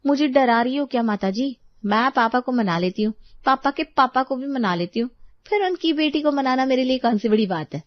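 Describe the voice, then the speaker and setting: A woman's voice: high-pitched, animated, a little fast, no room sound, muffled recording. A woman voicing a carefree, cheeky young woman in a cartoon story, breezy and playful. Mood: breezy, defiant. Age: young adult. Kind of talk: acted Hindi cartoon story dialogue.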